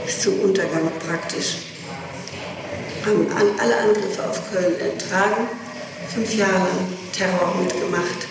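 A middle-aged woman gives testimony in court with halting, clipped answers. She sounds far from the microphone, with very heavy room echo and clear tape noise. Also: fairly low pitch. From Germany, Rhineland-Ruhr. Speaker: defendant being questioned in court. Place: large reverberant courtroom. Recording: very echoey, distant, noisy archival courtroom tape.

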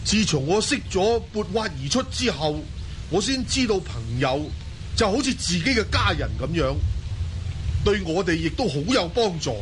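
A man's voice: sits high, very animated, normal speed, a little hiss or hum. A man playing an earnest, respectful seventeen-year-old Mongol youth in a Cantonese audio drama. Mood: reasoning earnestly.

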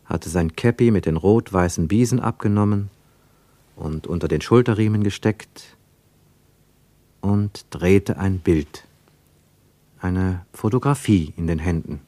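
Male narrator, lowish pitch, solemn, dramatic, literary radio play, dry studio sound. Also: unhurried, pauses often, mellow, no room sound.

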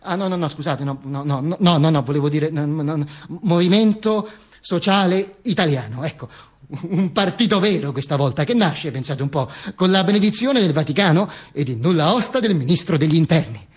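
A man's voice: on the high side, expressive, normal speed, husky. A man performing a theatrical monologue as a dead dictator's corpse, a wry, pompous, mock-solemn storyteller who stammers, repeats and corrects himself.

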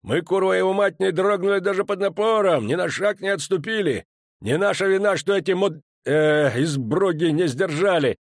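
A man's voice: sits high, normal speed, no room sound, studio quality, voice pushed up. A man voicing a fierce, battle-hardened male dwarf commander who roars and curses as he barks orders. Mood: furious. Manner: roaring, cursing, barking orders. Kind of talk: audiobook character reading.

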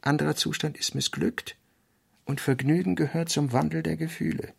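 A male actor in a literary radio play, voicing a brooding thinker who works through sketched notes in halting, pensive fragments with emphatic bursts. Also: on the high side, steady tone, vocal fry, slightly rough, full character voice.

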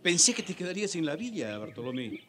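A practical, good-natured colonial farmer in a radio drama. His fairly high voice leaps about in pitch as he questions everything in bewilderment. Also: very animated, fast talker, music in the background. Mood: concerned. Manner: questioning, incredulous. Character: puzzled, practical Spanish farm partner, good-natured friend.

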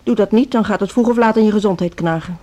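An actress playing a seasoned, resigned music school headmistress in a radio drama, composed and patronising. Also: female voice, medium pitch, fast, dark tone, lightly acted. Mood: unruffled, patronising. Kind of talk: Dutch social radio drama acting.

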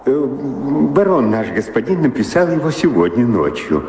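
An actor playing a nervous, deferential manservant in a radio drama, hesitant and obsequious. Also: male voice, mid-range voice, big pitch swings, unhurried, darkish tone. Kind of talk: theatrical radio drama acting. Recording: echoey, noisy radio play recording.

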